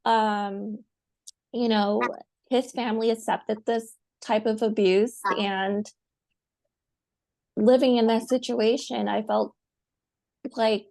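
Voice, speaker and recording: A woman from the United States telling her personal story as a guest, speaking softly and haltingly with many pauses. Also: a little high, fairly even intonation, vocal fry. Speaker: guest, survivor. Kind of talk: personal testimony.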